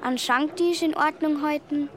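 A woman's voice: high voice, fairly even intonation, measured pace, a crowd in the background. A woman playing an earnest, hard-working young girl in a radio play. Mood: earnest, matter-of-fact. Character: hard-working Bavarian village girl, earnest and dutiful child.

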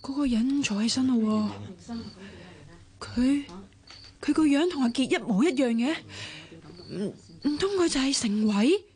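A woman's voice: high-pitched, animated, a little slow, pauses often, mellow. An actress playing a nosy, anxious young woman muttering to herself in a Cantonese radio drama, with a Hong Kong accent. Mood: shocked realisation. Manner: muttering thoughts aloud to herself. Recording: music under the voice.

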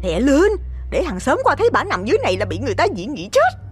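A scheming young wife from the south, sharp-tongued and bossy. The falsetto sits very high and leaps all over. Soft music plays underneath this audio story. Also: male voice, wildly swooping pitch, fast talker, exaggerated voice. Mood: scolding. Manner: scolding, shrill falsetto.

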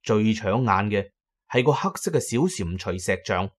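A man's voice: lowish voice, animated, normal speed. A man with a Hong Kong Cantonese accent narrating an adventure story in the first person, as in an audio drama.

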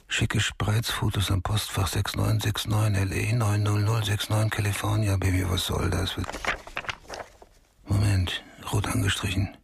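An actor playing a hard-boiled, brooding homicide detective in a radio play, terse and blunt, with a gravelly voice. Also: male voice, fairly low pitch, heavily acted. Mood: brooding, muttering. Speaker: actor in a radio crime drama. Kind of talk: noir radio play acting.

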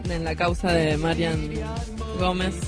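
A woman's voice: sits low, little pitch movement, unhurried. A woman with a Rioplatense accent co-hosting a casual radio chat. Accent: Rioplatense Spanish. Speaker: radio show co-host. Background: music.

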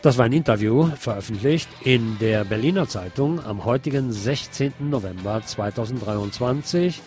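Male radio host for formal scripted interview reading, mid-pitched, with loud guitar underneath. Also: normal speed. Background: loud guitar music.

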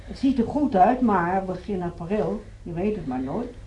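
A woman's voice: medium pitch, expressive, moderate pace, dark tone, a little hiss or hum, close to an everyday voice, rough recording. Actress as chatty, cheerful woman patient. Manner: chatty, musing small talk. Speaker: hospital patient. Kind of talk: naturalistic radio drama acting.

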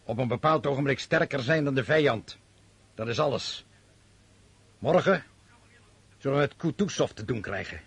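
A man acting an imperious, brooding French emperor on campaign in a radio play, with a commanding, declamatory manner and a husky voice. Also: mid-range voice, lively intonation, moderate pace, pauses often, a bit bright, full character voice. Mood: irritable, brooding.

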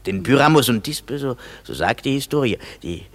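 A man's voice: mid-range voice, lively intonation, normal speed, close to an everyday voice. An actor playing a male craftsman leading a play rehearsal, agreeable and fond of repeating himself. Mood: organizing. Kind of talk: German radio play acting.

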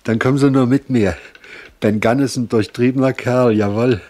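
An actor playing a sly, folksy servant sailor who longs to be a pirate, emphatic and conspiratorial, in a 1970s radio drama. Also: male voice, mid-range voice, steady tone, normal speed, dark tone, natural voice. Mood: sly, eager.

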